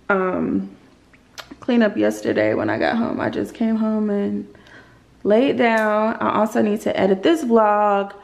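A woman's voice: fairly high pitch, gravelly, a little mellow. A young woman in her twenties chatting to a handheld camera in her apartment, rambling with lots of ums and repeats. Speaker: lifestyle vlogger. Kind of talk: casual chat to camera. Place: apartment living room and kitchen, indoors. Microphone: handheld camera on a grip, close.

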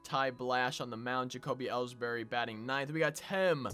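A young man with a sore throat, casually commentating his own baseball video game from a home gaming room on a desk mic, getting excitable and sing-song over big plays. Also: medium pitch, normal speed, smooth, soft background music. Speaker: gaming channel host. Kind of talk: live gameplay commentary.

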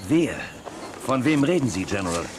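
An actor playing a steady, tactful western sheriff in a German children's audio drama. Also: male voice, mid-range voice, moderate pace, natural voice. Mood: earnest. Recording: very noisy old audio drama transfer.

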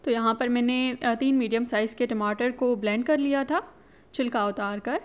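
A woman talks viewers through a home recipe in a chatty, instructive voice-over, often slipping English words into her speech. Her voice sits a little on the higher side. She sounds like a relaxed host of her own cooking channel. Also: moderate pace, clean tone.

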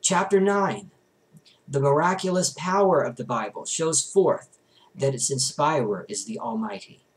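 A man's voice: a little high, a little slow, low-quality recording. A young adult man reading a book aloud expressively, with an emphatic, declamatory delivery. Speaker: reader, vlogger. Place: small bedroom, indoors.

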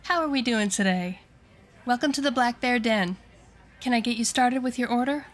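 A woman's voice: a little high, moderate pace, lightly acted. A woman playing a friendly, upbeat diner waitress in an audio drama, with chirpy customer-service patter. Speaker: audio roleplay actor.

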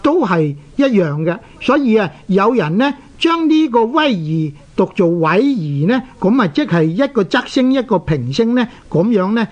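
A man with a Hong Kong Cantonese accent presenting a scholarly radio lesson, explaining in a deliberate, didactic way with drawn-out particles. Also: high-pitched, very animated. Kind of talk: scholarly scripted lecture with recited verse. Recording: dull, lo-fi radio recording.

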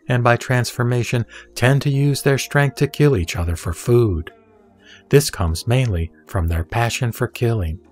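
A man reading scripture as narrator of an audio drama, in a solemn, measured and deliberate way. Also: mid-range voice, darkish tone. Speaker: sutra reciter and narrator. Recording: background music under the voice. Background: music.

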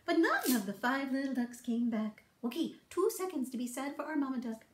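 A middle-aged woman speaks to small children in a high, very animated voice. She hosts storytime with playful, singsong encouragement, taking her time over the words. The room adds some echo. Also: fairly quick. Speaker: children's storytime librarian host. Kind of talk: read-aloud, songs and rhymes for toddlers. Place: small book-lined office. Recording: roomy indoor echo.

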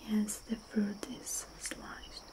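Slow whispering young woman, ASMR. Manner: soft unvoiced whisper, slow and dreamy, with murmured hums. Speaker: ASMR host. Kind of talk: whispered ASMR guided visualization.

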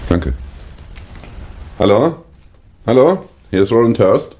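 A cocky, evasive teacher suspect in a vintage radio mystery. His voice is fairly low and swings widely in intonation, with offhand bluster. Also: big pitch swings, slow pace, darkish tone. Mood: puzzled, offhand. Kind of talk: 1960s German radio crime drama acting.

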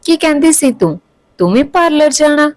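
A woman's voice: high voice, animated, moderate pace, darkish tone, no room sound, voice pushed up. A woman voicing a stern mother in an audio story, admonishing her younger daughter. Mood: irritated, challenging.

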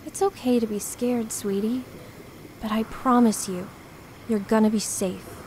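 An actress playing a kind, wry spirit woman, Death's emissary, in a radio play, gentle and understated. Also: fairly high pitch, steady tone, measured pace, slight background noise, natural voice, poor audio. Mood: gentle, reassuring.

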